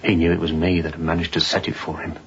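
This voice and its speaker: A very deep-voiced fugitive sailor, weary and haunted. He speaks in hushed, halting confession, often close to a whisper. The radio drama recording sounds dull and muffled. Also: flat delivery. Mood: bitter, haunted. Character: escaped seaman fugitive, weary, haunted, confiding. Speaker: actor in an audio drama.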